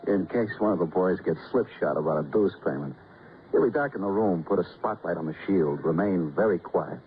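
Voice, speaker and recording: Morose low-voiced club caretaker. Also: male voice, expressive, a little fast, narrow, tinny audio. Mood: wry, mournful. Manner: terse, wry, streetwise phrasing. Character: morose, laconic male veterans' club caretaker. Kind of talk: 1940s radio drama acting. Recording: noisy, band-limited old radio recording.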